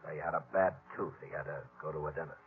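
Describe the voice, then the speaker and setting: An actor playing a bossy, menacing gang leader in a 1950s radio western, curt and scornful. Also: male voice, rumbling low, steady tone, quick pace, husky, faint music behind, heavily acted. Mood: menacing, commanding. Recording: muffled old 1950s radio recording.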